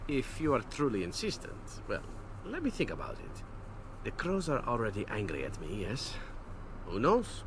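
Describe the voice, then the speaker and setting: An actor playing a suave, playful elven assassin in a video game, with a smooth, teasing, theatrical delivery. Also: male voice, on the low side, huge pitch swings, moderate pace, background noise. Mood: sly, coy.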